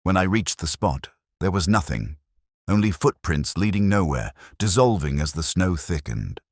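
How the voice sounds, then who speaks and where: Brooding older detective , played by a man: very deep voice, wide melodic range, reflective first-person drama narration, close dry sound. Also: animated, dry recording. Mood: wistful, reflective. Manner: measured, literary, reflective.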